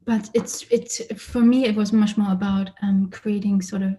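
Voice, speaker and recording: A woman who grew up in Germany, an artist giving a lecture about her exhibition and speaking thoughtfully with frequent pauses and fillers. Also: medium pitch, fairly even intonation, warm tone. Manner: hesitant, many fillers and restarts, thoughtful. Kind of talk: lecture presentation. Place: lecture or online presentation.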